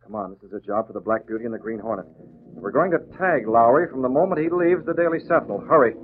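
A narrow, tinny old broadcast carries a male actor as a publisher who doubles as a vigilante. He is mid-pitched, brisk and authoritative, giving orders decisively. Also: animated, lightly acted. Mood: urgent, decisive. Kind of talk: 1940s radio acting. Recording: tinny, narrow-band old radio recording.

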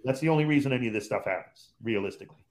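A man's voice: medium pitch, racing, crowd noise. A man who calls games on the radio, a guest on a podcast chat, talking in an emphatic, opinionated way and repeating points for stress.

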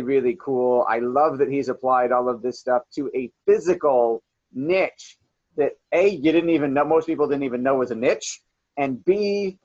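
A man's voice: medium pitch, no room sound, muffled recording. Upbeat man hosting an interview. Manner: upbeat, effusive, frequent "awesome". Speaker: podcast host. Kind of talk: enthusiastic interview questions.

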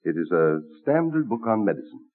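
Courtly colonial statesman, genial and witty, lively intonation, muffled old radio sound. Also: fairly low pitch, a little fast. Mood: earnest. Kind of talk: vintage radio drama acting. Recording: muffled, narrow-band old radio recording.

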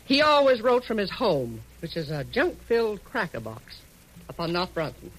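A woman's voice: on the low side, sing-song, moderate pace, husky, poor audio. An actress playing an imperious older American businesswoman, curt and commanding, in 1940s radio drama style. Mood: brusque, businesslike. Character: bossy, brusque older female publishing tycoon. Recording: old radio drama recording.

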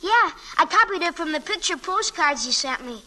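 Very high, shy, eager schoolboy. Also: female voice, animated, normal speed, bright, forceful tone, exaggerated voice. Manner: hesitant, earnest, boyish. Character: shy, eager schoolboy model-boat maker. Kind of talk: old-time radio drama acting.

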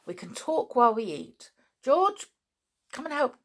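A woman voicing a motherly young girl who briskly organizes everyone. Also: high voice, very animated, pitched above the performer's own voice, exaggerated voice.

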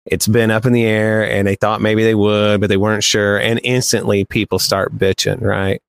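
Low-voiced man chatting on a podcast. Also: level tone, moderate pace, vocal fry, clear, resonant tone, dry recording. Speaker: podcast co-host. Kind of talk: casual podcast chat.

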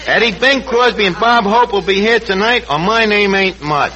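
A man's voice: high voice, moderate pace, pushed and bright, highs cut off. An actor playing a brash, scheming New York tavern manager who mangles his words pompously in a 1940s radio comedy. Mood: cocky. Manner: pompous malapropisms and slangy grammar. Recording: noisy old 1940s radio recording.

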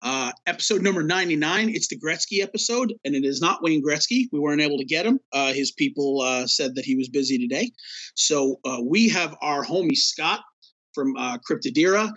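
A man's voice: fairly high pitch, animated, brisk, fairly bright tone, no echo, dull audio. A man co-hosting a hockey podcast, chatting casually with lots of fillers and a laid-back, rambling manner. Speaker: podcast co-host.

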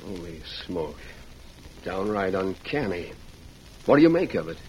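An actor playing a plainspoken frontier sheriff with a rustic American drawl, in old-time radio Western style. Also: male voice, sits low, very animated, unhurried, a little mellow. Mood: puzzled, cooperative. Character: Western frontier town sheriff, plainspoken, folksy, steady male lawman. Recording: muffled, noisy vintage radio recording.

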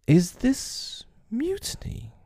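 A man's voice: low voice, big pitch swings, slow pace, creaky, a little mellow, dry recording, clean studio sound, full character voice. A man voicing a villainous pirate captain in a storybook, theatrical and drawn out, with purring, mock-polite menace. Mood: mock pleasant.

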